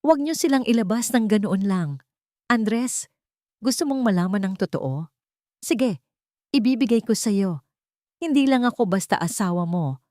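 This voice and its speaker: A woman's voice: medium pitch, a little mellow, no echo, natural voice. Villainess for audio romance drama, played by a female narrator: spoiled heiress, scornful, commanding, dry sound. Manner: taunting, commanding. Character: scornful, menacing young wife and rich heiress villain.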